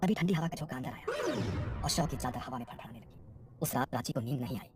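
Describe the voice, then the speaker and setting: Very low-voiced woman narrating horror. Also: quick pace, over loud music. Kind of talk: scripted horror story narration.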